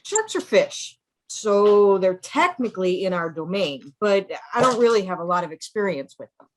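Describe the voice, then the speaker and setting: A woman's voice: medium pitch, big pitch swings, a little hiss or hum, close to an everyday voice, poor audio. A woman playing a hesitant, awkward male fisheries scientist in a comedy table read. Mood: awkward, unsure. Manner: hesitant, halting.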